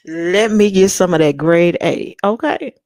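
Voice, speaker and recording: A woman's voice: lowish voice, a little mellow, no echo, studio quality. A young woman from Texas with a Louisiana-tinged accent, chatting bluntly and sassily with viewers on her livestream. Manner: sassy, blunt, laughing, slangy storytelling. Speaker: livestream host. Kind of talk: casual chat with live viewers.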